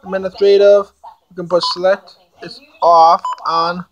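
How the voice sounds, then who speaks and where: A woman giving a casual product demonstration, haltingly thinking aloud as she reads out menu items. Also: lowish voice, level tone, dull audio. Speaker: amateur product reviewer.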